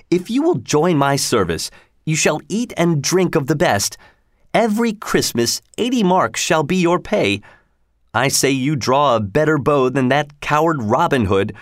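A man reading a storybook, voicing a pompous, proud male sheriff in a raised, haughty pitch. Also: no room sound, studio quality.

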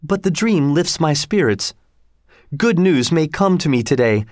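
A man's voice: a little high, very animated, unhurried, darkish tone, no room sound, clean studio sound. A man reading aloud, voicing an impulsive young lover who talks with urgency. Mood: hopeful. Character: young male lover, passionate and rash.

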